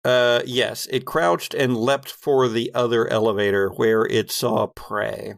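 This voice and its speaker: Slow, gravelly man narrating a horror game. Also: mid-range voice, steady tone, no room sound, clean studio sound. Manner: deliberate, descriptive, pausing mid-sentence. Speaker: game master.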